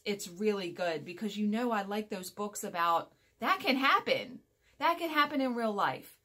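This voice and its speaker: A woman in her forties talks to her viewers like a casual home vlogger. Her voice sits at a medium pitch. She is chatty and emphatic, rambling along and correcting herself as she goes. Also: a bit bright. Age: 40s. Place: small furnished room at home, indoors.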